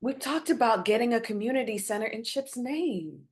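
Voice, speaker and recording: A woman's voice: fairly high pitch, lively intonation, normal speed, natural voice. A woman playing an earnest, hopeful bereaved mother in a dramatic script reading, pleading and placating yet firm as she argues for forgiveness. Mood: persuading.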